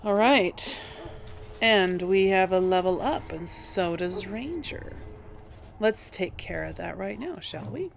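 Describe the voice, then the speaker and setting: A woman's voice: medium pitch, lively intonation, takes it slowly, vocal fry. A woman giving casual role-play commentary as she hosts a gaming video. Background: faint game music.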